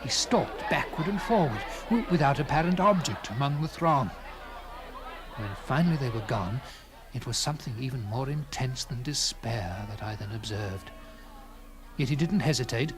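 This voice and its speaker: A man reading a classic literary story in a dramatic first-person style, with theatrical, deliberate phrasing and swelling emphasis. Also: mid-range voice, expressive, over music, a crowd in the background. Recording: clearly noisy with background ambience.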